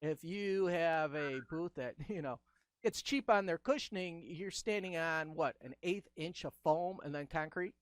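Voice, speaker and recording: A middle-aged man hosting a casual video-call chat, with a high-pitched, squeaky voice and a chatty, enthusiastic way of going down his list. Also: unhurried, clear, resonant tone, dry recording, clean studio sound. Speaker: host.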